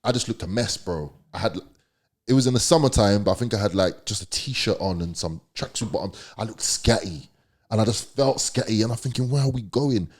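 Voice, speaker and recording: A man with a London accent and a deep voice, telling a personal story as a podcast guest in slangy, emphatic conversation. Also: fairly quick. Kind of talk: candid storytelling.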